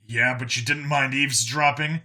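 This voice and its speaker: A man voicing a hardened, grimly sardonic human lawman with a flat, blunt, deadpan manner in an audiobook story. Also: medium pitch, moderate pace. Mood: brisk, businesslike.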